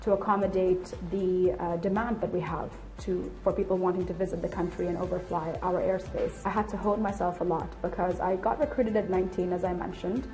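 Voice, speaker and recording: A woman's voice: lowish voice, steady tone, brisk, hardly any pauses, a little mellow, faint music behind, rough recording. A woman in her late twenties giving candid, articulate explanations in an interview. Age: late 20s. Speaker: air traffic control officer and instructor being interviewed.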